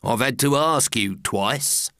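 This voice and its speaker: A man voicing a gruff, unsentimental carpenter from a storybook poem, terse and dour. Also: medium pitch, slow, sharp tone, no echo, studio quality. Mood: grumbling. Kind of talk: storybook verse character reading.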